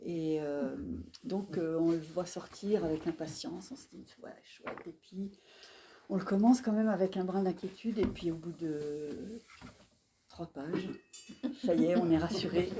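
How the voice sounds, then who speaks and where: A woman's voice: on the low side, unhurried, background noise. A woman hosting a live author event in a bookshop, presenting a novel with enthusiastic, admiring remarks. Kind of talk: live book event introduction.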